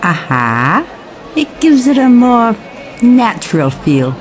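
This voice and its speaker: A woman's voice: a little high, big pitch swings, slow, slight background noise. A woman playing an upbeat, confident event organiser in an audio drama, breezy and encouraging. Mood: pleased, breezy.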